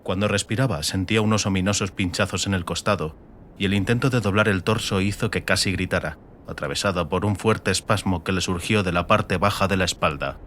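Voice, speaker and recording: Male narrator for a fantasy audiobook, fairly low register, dramatic scripted delivery. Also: fairly quick, fairly bright tone, a little hiss or hum.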